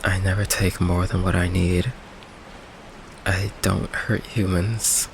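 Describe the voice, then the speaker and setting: A man playing a gentle, insecure goth vampire boyfriend in an ASMR roleplay, soft-spoken, halting and apologetic, with a gravelly voice. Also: sits low, background noise, exaggerated voice. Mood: earnest, defensive. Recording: steady rain ambience behind the voice. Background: rain ambience.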